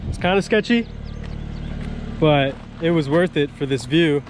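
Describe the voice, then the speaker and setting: A higher-pitched man chats eagerly to a handheld action camera outside, walking viewers through each detail of his car. There's a faint hum of outdoor noise behind him, and his delivery is casual and upbeat. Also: animated, unhurried. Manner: enthusiastic, chatty, shows off car details. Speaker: car vlogger. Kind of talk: casual vlog narration. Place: outdoors at a shop lot and a mountain overlook. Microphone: action camera held or mounted.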